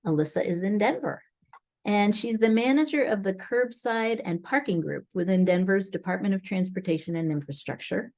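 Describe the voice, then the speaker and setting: A woman of middle pitch moderates a webinar. She reads a speaker's introduction in a steady, formal, even delivery. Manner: steady, formal reading of a bio.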